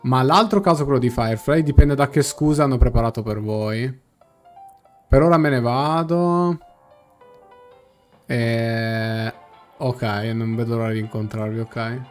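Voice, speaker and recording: A young Italian man, a game streamer, casually reacting and translating dialogue aloud in a halting way, often rephrasing. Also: mid-range voice. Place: small indoor room. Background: faint game music.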